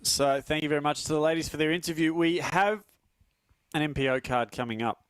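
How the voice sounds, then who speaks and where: A man's voice: fairly high pitch, fairly quick, a bit bright, clear, resonant tone, no room sound. Man, studio commentator, chatty, laughs a lot, stumbles on words, dry room. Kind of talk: live stream commentary chat.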